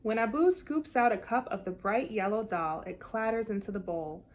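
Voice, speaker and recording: A woman reads a children's picture book aloud with an animated, deliberate storytelling delivery. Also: mid-range voice, slight background noise. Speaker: storybook reader.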